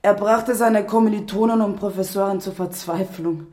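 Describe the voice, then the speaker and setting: A man's voice: high-pitched, fairly even intonation, normal speed, darkish tone, slight background noise. A man reading scripted literary narration as a storyteller.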